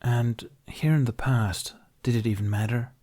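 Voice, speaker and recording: A man's voice: medium pitch, measured pace, mellow. A man reading a scripted story as an audiobook narrator, in a dramatic narrative style.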